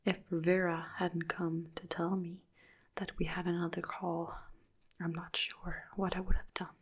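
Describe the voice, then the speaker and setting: A woman narrating a dark first-person story in a soft, hushed whisper throughout. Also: fairly low pitch, level tone, measured pace, slightly rough.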